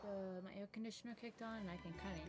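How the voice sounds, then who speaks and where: A woman's voice: mid-range voice, steady tone, fast talker. A woman livestreaming a game, chatting casually and reacting as she plays. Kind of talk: casual game streaming chat. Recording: loud game music behind.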